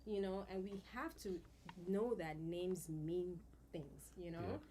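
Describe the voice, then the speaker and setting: Woman, lower voice, storytelling. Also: takes it slowly, slight background noise. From Nigeria. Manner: warm storytelling with many fillers. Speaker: guest. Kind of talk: casual podcast conversation.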